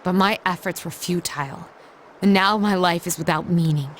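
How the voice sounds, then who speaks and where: A woman's voice: lowish voice, moderate pace, a bit bright, slight background noise, natural voice. A woman voicing a proud, reserved young noblewoman in an anime game, speaking formally and with measure. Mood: despairing. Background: music.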